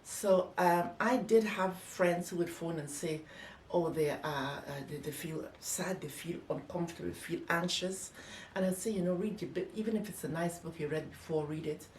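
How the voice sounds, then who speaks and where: An older woman recounts her memories in an interview with emphatic storytelling. Her voice is on the low side, caught from a little way off in an echoey room with faint noise. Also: normal speed, few pauses, a little mellow, recorded from a distance. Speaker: interviewee, former nurse. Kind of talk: personal reminiscence in interview.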